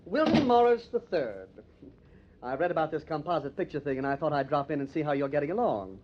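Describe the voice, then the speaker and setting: An actor playing a young, haughty millionaire heir with an affected manner in an old-time radio crime drama. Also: male voice, very animated, brisk, background noise. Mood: affronted, ingratiating. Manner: affected, high-hat. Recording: dull lo-fi old radio recording.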